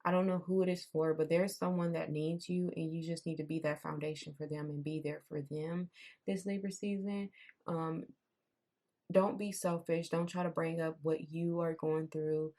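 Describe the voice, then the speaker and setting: Young woman doing a tarot reading, low flat voice gone kinda raspy, keeps saying "i'm seeing". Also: normal speed. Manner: conversational, repeats herself, says "I'm seeing" often. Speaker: tarot reader. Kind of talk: casual pick-a-card tarot reading.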